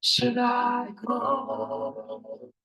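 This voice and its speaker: A woman's voice: fairly high pitch, completely flat delivery, drawn-out words, slightly rough, lo-fi sound. A woman singing a song from a music video, played over an online meeting. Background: musical accompaniment.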